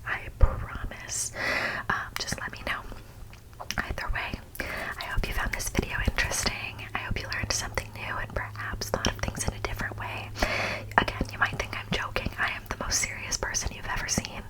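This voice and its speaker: A young adult woman speaks in a breathy, unvoiced whisper, presenting ASMR in an informal, mock-serious way as the host. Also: normal speed, poor audio.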